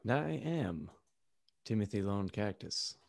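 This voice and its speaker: A man's voice: fairly low pitch, sing-song, measured pace, gravelly, a little mellow. A man co-hosting a podcast with joking, casual banter. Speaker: podcast co-host.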